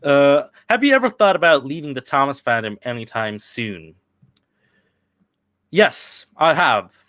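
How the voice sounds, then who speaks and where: A man's voice: medium pitch, lively intonation, fairly bright tone, no echo. Rambling young man, dry close sound. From Sweden. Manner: rambling, halting, with many restarts and fillers. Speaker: channel host answering live chat questions. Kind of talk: casual livestream chat.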